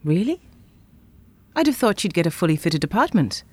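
A woman's voice: lowish voice, sing-song, normal speed, a little mellow, slightly rough. An actress playing a frank, playful, independent woman in a naturalistic audio drama. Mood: sad, wry.

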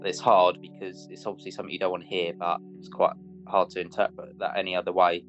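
A man's voice: sits low, completely flat delivery, fast talker, muffled recording. A man telling a personal story casually and a little hesitantly, as if to a friend. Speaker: interviewee, friend of the interviewer. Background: guitar music.

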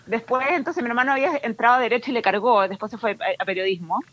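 A woman from Chile with a Chilean Spanish accent, answering questions on a remote interview, animated and laughing often, mixing English words in. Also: on the high side, racing, over a noisy crowd. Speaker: guest entrepreneur.

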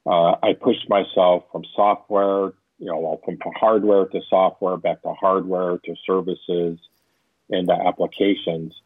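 A man's voice: low voice, little pitch movement, unhurried, no echo. A man in his fifties from Southern California, a tech executive answering interview questions, rambling with many fillers. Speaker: guest, tech company executive. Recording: tinny phone-line sound.

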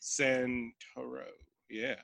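A man's voice: mid-range voice, little pitch movement, drawn-out words, vocal fry, a bit bright. A man co-hosting a podcast, chatting casually about a movie in a loose, joking way and swearing freely. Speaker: podcast co-host. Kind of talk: casual movie review chat.

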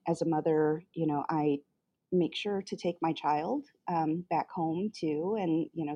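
Low, warm-voiced woman in her fifties. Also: dry recording, highs cut off. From Chicago. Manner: halting, many fillers and restarts. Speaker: guest artist. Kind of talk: casual interview answers.

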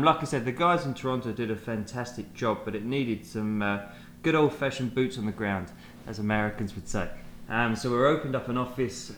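A man's voice: medium pitch, fairly bright tone. Hesitant British man in an echoey room. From England. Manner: hesitant, many fillers and restarts. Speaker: guest, sales manager. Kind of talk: conversational podcast interview. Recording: echoey room, faint noise.

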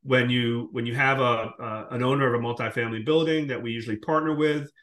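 A man's voice: mid-range voice, steady tone, fast talker, fairly bright tone. A man from the Caribbean speaking as an interview guest, explaining his business in a detailed, conversational way. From Caribbean, born in Guyana and raised in Jamaica. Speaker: podcast guest, short-term rental investor. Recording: roomy, echoing sound.

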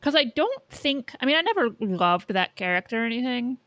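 A woman's voice: on the high side, big pitch swings, fairly quick, a bit bright, dry recording. A woman co-hosting a podcast in casual chat, with a blunt, opinionated way of talking. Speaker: podcast co-host.

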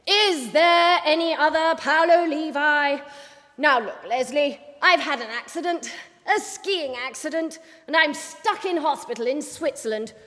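A woman's voice: high voice, measured pace, a bit bright, in a large hall, voice pushed up. A woman voicing a formidable, impatient female newspaper boss, brusque and emphatic, in an audiobook. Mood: brisk, urgent. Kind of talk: audiobook character voice.

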